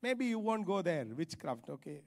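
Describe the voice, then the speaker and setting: High-voiced Indian-accented male preacher in a hall. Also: sing-song, moderate pace, vocal fry, a little mellow. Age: middle-aged. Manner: emphatic, repeats phrases for stress. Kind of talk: sermon. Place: large church auditorium stage. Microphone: handheld microphone. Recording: reverberant hall sound.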